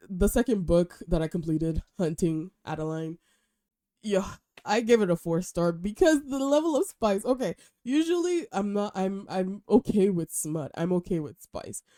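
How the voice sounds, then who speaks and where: A young woman hosting a casual book review vlog, chatty and animated with slang, sounding a little nasal from a cold. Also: fairly low pitch, no room sound, clean studio sound. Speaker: host.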